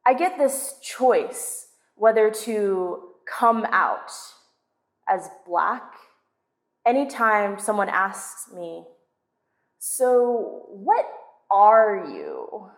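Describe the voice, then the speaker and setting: Slow, reflective woman in a roomy space. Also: mid-range voice, sing-song. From Southern California. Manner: reflective, measured, confessional. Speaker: storyteller. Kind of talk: personal spoken-word monologue.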